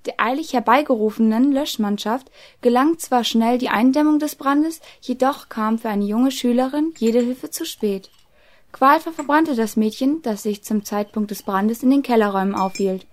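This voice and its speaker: Helle, höfliche Internatsschülerin, von einer Frau gespielt. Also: female voice, a little high, moderate pace, natural voice. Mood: reading aloud. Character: polite, curious, slightly timid teenage boarding-school girl. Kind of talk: scripted audio drama acting.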